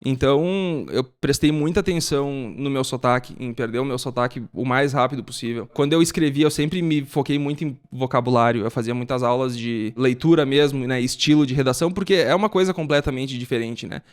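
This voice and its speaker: A man's voice: mid-range voice, fairly quick, few pauses, clean tone. A young man from Brazil, a podcast guest explaining things in casual chat and often ending with a tag question.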